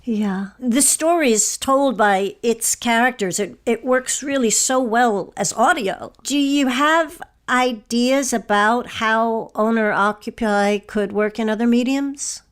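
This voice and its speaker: A woman's voice: on the high side, slow, few pauses. A woman hosting and moderating a discussion on an audio drama show. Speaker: host and moderator.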